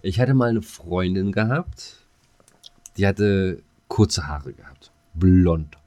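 A middle-aged man talks in a low register, recorded dry and close. He chats like a podcast co-host, rambling along in colloquial, matey fashion. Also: animated, slow, mellow, no room sound. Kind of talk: casual chat.

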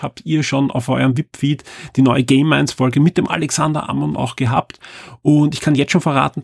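A man hosting a podcast on his own, slightly hoarse and talking in a chatty, informal, upbeat way with the odd filler sound. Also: medium pitch, dry recording, clean studio sound. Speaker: podcast host. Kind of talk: solo podcast announcements.

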